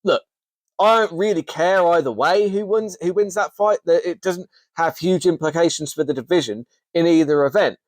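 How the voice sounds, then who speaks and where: A man's voice: on the high side, normal speed, dry recording. A man, hosting a casual, enthusiastic fight recap with frequent restarts. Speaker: host. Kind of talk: casual MMA recap commentary.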